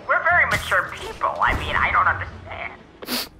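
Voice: mid-range voice, sing-song, normal speed, over music. A performer voicing a childish, earnest middle-aged male scientist who stammers and whines in an improvised comedy game dub. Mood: indignant.

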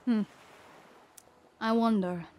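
A woman playing a wary, bookish young woman in an audio drama, musing to herself in a measured way. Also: a little high, steady tone, darkish tone. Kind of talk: fan audio drama monologue. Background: music.

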